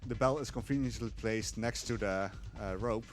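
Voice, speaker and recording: A man explaining his speedrun live in a relaxed, chuckling way, with a casual tone. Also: on the low side, normal speed, faint music behind. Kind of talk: casual live gameplay commentary.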